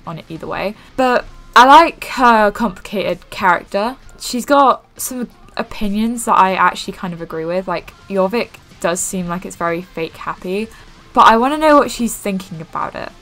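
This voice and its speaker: A woman's voice: mid-range voice, normal speed, low-quality recording. Rambling young woman gaming host. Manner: self-described monotone, rambling with fillers. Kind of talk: casual gameplay commentary. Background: faint game music.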